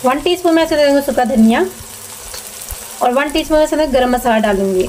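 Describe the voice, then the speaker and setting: A woman narrates a home recipe step by step. She instructs plainly and repeats details as she goes. She is recorded at the stove, with clear sizzling and frying noise behind her. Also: high voice, expressive, quick pace, a little mellow. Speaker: home cooking show host. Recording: noisy kitchen with sizzling pan. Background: frying, sizzling, stirring.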